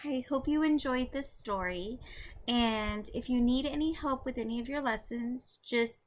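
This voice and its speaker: A woman teacher with the expressive delivery of someone reading stories aloud to children. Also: a little high, measured pace, slight background noise.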